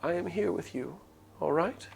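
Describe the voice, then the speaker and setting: Guy doing a sweet old granny from a visual novel, high voice, fussy-kind and concerned, stretches words out, bit of noise. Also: lively intonation, vocal fry. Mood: tender, worried. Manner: gentle, motherly, drawn-out words. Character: kindly old village woman, doting and concerned. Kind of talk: visual novel character read aloud.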